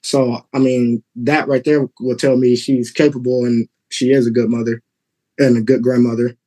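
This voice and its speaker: A man in his thirties from Texas, answering a judge's questions in court politely and haltingly. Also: mid-range voice, level tone, dark tone. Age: 30s. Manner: polite, halting, many fillers. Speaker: litigant father.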